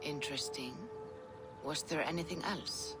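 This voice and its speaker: A calm Norse seeress in a video game drama. She speaks as a wise spiritual guide, measured and formal, gently probing. Clear music sits behind her voice. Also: low-pitched. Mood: reassuring, probing. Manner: measured, formal, attentive questioning.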